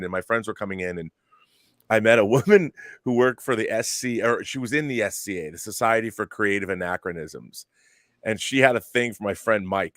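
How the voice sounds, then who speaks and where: A man's voice: on the low side, a bit bright, no room sound, clean studio sound. A middle-aged man from the Seattle area, hosting a casual podcast chat. He rambles and often restarts his sentences. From Seattle area, United States. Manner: rambling, halting, frequent restarts and fillers. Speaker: podcast host.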